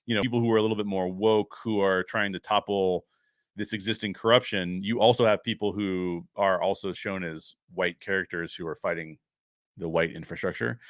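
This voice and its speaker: A man's voice: lowish voice, sharp tone, no echo, studio quality. A man hosting a pop-culture show, giving conversational, opinionated commentary on film in a reasoned way, thinking aloud with asides.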